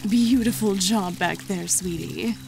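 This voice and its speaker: Actress as coolly condescending fantasy warrior woman, mid-pitched, teasing, faint music underneath. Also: a little slow, creaky, a little mellow. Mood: approving, teasing. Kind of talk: dramatised fantasy audiobook acting.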